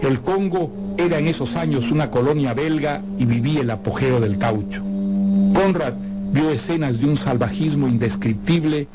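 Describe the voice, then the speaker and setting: A man presenting a scripted literary introduction in a measured, formal, erudite way. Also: a little high, over loud music, low-quality recording.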